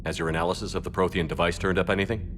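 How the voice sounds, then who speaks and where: Man acting as resolute male commander, low voice, firm, measured, video game drama, noisy background ambience. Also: steady tone, natural voice. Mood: businesslike. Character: resolute human male military commander, calm and steady. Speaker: player character military commander.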